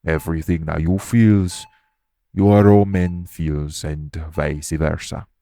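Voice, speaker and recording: Man acting a very deep, formal monster doctor. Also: fairly even intonation, warm tone, a little hiss or hum, full character voice. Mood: stern, formal. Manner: measured, formal, stern. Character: formal, patient male monster doctor with a lashing tail. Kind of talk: audio roleplay acting.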